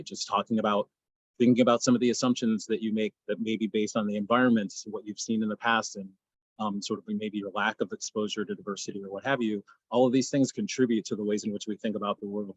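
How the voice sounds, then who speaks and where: Man, panelist, quick rambling delivery, slightly husky, lowish voice, dry sound. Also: little pitch movement, no room sound, poor audio. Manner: rapid, thinking aloud in long run-on sentences. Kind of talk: panel discussion.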